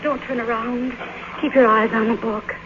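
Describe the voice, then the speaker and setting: An actress playing an aging silent film queen in a radio drama, grand, fragile and possessive, with halting theatrical delivery. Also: a little high, level tone, natural voice. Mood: anxious, coy. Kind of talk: 1950s radio drama acting. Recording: noisy, dull old radio broadcast recording.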